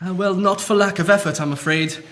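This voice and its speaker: A man's voice: high voice, fairly even intonation, fairly quick, fairly bright tone. An actor playing a formal, earnest male tutor who speaks politely and solemnly with an accent.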